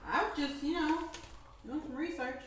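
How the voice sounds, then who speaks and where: Female tabletop gamer, slightly high voice, irreverent and sarcastic, casual delivery, very roomy noisy home recording. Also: measured pace, far from the mic. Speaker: player in a tabletop role-playing game. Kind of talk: casual in-character banter. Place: indoor room, home game session. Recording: very echoey room, clear background noise. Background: noise, air conditioner hum.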